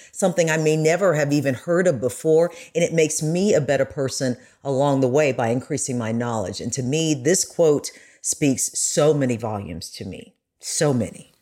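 A woman's voice: deep voice, mellow. A woman speaking as a podcast guest, sharing personal commentary in an earnest, emphatic way.

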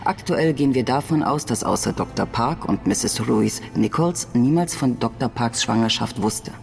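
A woman playing a composed company spokeswoman who gives measured, diplomatically defensive statements at a press conference in an audio drama. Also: extremely low pitch, animated, creaky, darkish tone, full character voice. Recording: long hall reverb, faint music.

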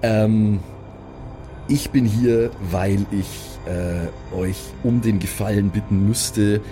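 A man's voice: on the low side, fairly even intonation, slow pace, mellow. A man playing a cautious, deferential envoy of a mages' academy in a tabletop audio game, hesitant and formally courteous. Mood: humble, pleading. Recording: faint eerie music under the voice.

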